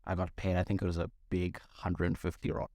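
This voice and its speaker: Laid-back young man, deep voice, dry studio. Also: monotone, mellow, no room sound. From Zimbabwe. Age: 20s. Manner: laid-back, jokey, quick to laugh. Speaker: music producer and DJ. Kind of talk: casual chat.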